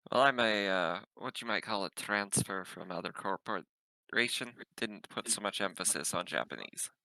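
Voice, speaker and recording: A man's voice: sits low, moderate pace, vocal fry, bright, forceful tone, no room sound, heavily acted, poor audio. A man playing a casual, wry female spaceship captain in a tabletop game, speaking in an offhand way.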